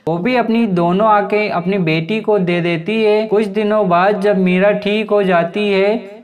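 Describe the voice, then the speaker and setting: A woman's voice: medium pitch, steady tone, unhurried, darkish tone. A woman narrating a scripted moral story for a cartoon as the storyteller.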